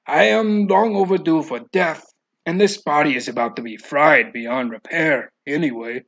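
A man's voice: a little high, moderate pace, creaky, fairly bright tone, lo-fi sound. A man voicing a grim, resolute, fatalistic man giving commanding instructions in an audiobook story. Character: grim, resolute man instructing his own death ritual.

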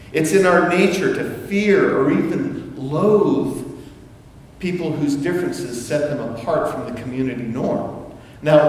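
A middle-aged man preaches in an easygoing, conversational manner, breaking off for asides and starting sentences over. His slightly high voice is picked up from a distance. The sanctuary's long, booming reverb surrounds every word. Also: a little mellow, a little hiss or hum. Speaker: pastor. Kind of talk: sermon. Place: church sanctuary. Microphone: far camera, room sound. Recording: echoey church hall from far off.

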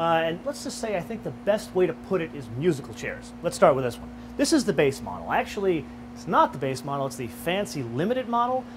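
A middle-aged man hosting a car show, explaining features in a lively, animated way outdoors and behind the wheel. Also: fairly high pitch, background noise. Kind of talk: car review presentation.

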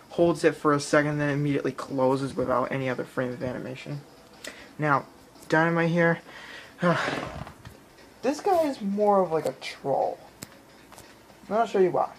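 A man's voice: fairly high pitch, slight background noise. A man giving casual, rambling gameplay commentary, often repeating his phrases, recorded on a handheld camera in a room. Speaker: gamer recording his playthrough. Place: indoor room in front of a TV. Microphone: handheld camera or phone filming the screen.

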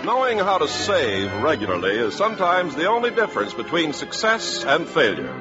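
An American man announces in 1950s radio style, his fairly high voice rising and falling widely. The delivery is scripted and emphatic, on a dull old broadcast recording. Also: big pitch swings, moderate pace, over music, crowd noise.

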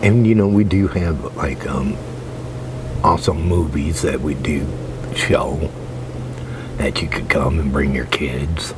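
Man in his sixties, soft ASMR whisper, extremely low pitch, halting slow delivery, clear outdoor background noise. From United States. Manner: halting, rambling, repeats soft affirmations. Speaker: ASMR performer playing a librarian. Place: outdoors at a metal picnic table under a shelter. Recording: noisy outdoor recording with traffic-like hum.

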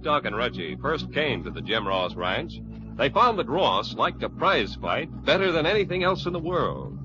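Animated old-time American radio announcer. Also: male voice, mid-range voice, highs cut off. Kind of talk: 1950s radio drama announcing, scripted. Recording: old radio broadcast, loud music behind. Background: music.

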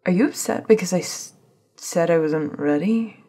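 A woman playing a hesitant, gentle young woman on a date in an intimate ASMR audio roleplay, soft-spoken and stammering. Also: on the low side, normal speed, husky. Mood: concerned, hurt.

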